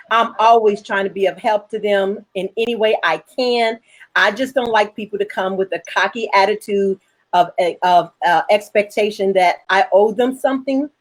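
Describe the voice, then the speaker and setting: A woman hosting a chat about her work as a filmmaker, answering viewers in a frank, self-assured way. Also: mid-range voice. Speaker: host, independent filmmaker.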